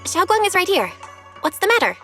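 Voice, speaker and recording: A high, gentle young companion. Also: female voice, very animated, brisk, soft background music, full character voice. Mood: reassuring. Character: gentle, encouraging young woman, supportive friend. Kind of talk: anime-style game dialogue.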